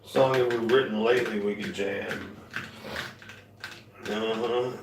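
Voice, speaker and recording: A man's voice: fairly low pitch, steady tone, slow, recorded from a distance, background noise. Rambling man in an echoey room. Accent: light Southern. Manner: loose, chatty, trails off and restarts, drawls his y'alls. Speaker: musician and painter streaming a show. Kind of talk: rambling casual chat to viewers. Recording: echoey room, voice a bit off-mic, guitar music.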